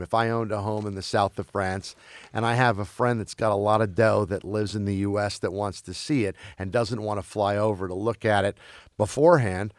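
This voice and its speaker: A man who grew up in Belgium talks as an interview guest in a loose, conversational way. He stumbles and restarts often, circling back over his words in a lowish voice. The recording is dry, with almost no room sound. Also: no echo. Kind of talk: casual conversation.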